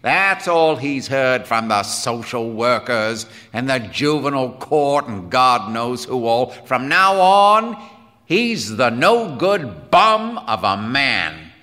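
A man voicing a gruff, hard-nosed, angry father in an audiobook, with blunt, folksy, forceful talk. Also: high voice, sing-song, slow pace, big-room sound, pitched above the performer's own voice, full character voice. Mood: grim. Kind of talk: audiobook character dialogue.

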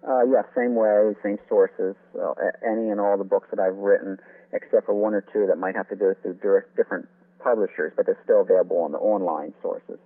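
Wanted: male interview guest, deeper voice, explanatory author's manner, thin phone-quality sound. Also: fairly even intonation, fairly quick. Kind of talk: informative interview talk. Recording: tinny phone-like line.

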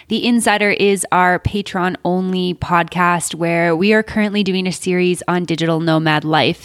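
A woman's voice: fairly low pitch, no echo, studio quality. Upbeat woman co-hosting a podcast. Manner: upbeat and enthusiastic. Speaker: podcast co-host. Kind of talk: casual podcast chat.

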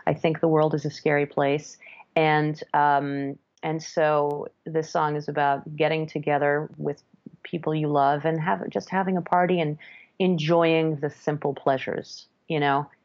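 A woman's voice: low voice, little pitch movement, unhurried, darkish tone, muffled recording. A woman who grew up in France, a jazz band leader, talking in a podcast interview and restarting her sentences as she thinks aloud. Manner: thoughtful, many restarts and self-corrections.

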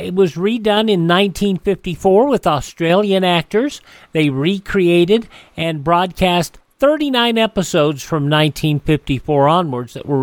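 A man hosting a classic radio show, presenting in a relaxed way with some scripted notes. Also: sits high, no room sound.

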